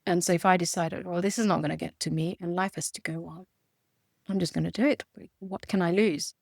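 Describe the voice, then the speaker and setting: A woman's voice: on the low side, steady tone, fairly quick, vocal fry, no room sound, studio quality. A woman in her forties from Romania and Hungary, a native Hungarian speaker, talking animatedly as an interview guest, often restarting her sentences. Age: 40s. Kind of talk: conversational interview.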